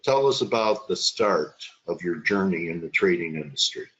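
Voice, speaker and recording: A man hosts a trading webinar interview, rambling warmly into his questions with plenty of uhs and you-knows. His voice is fairly low. The audio is dull and muffled, like an online call. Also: animated, moderate pace, breathy edge.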